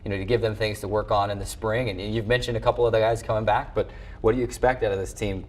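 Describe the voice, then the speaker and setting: A man's voice: fairly low pitch, steady tone, quick pace. A man hosting a sports talk show in a chatty, conversational and wordy way. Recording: faint background noise.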